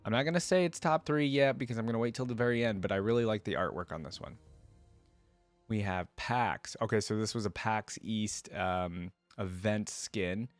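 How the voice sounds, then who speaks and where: A man's voice: fairly low pitch, moderate pace, no echo, soft background music. A man hosting a reaction video, chatting casually to viewers and thinking aloud as he comments. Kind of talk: casual commentary, reacting to game music and artwork.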